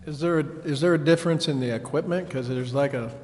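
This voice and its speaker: A man's voice: a little high, quick pace, a little mellow. A man speaking as a council member, asking questions in a public meeting in a reverberant hall.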